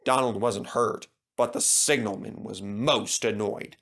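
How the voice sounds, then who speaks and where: A man's voice: on the low side, fairly even intonation, measured pace, creaky, a bit bright. A man narrating a scripted children's story.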